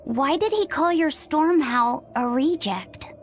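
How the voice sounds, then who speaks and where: Casting: polite young boy for a game, high voice, slow and hesitant, childlike curiosity. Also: slight background noise. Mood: curious, earnest. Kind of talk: English video game dub acting.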